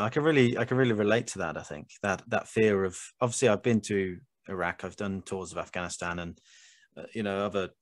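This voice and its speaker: British bloke podcast host, lowish voice, earnest and a bit rambly, really dry sound. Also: male voice, no room sound. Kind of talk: casual conversational interview.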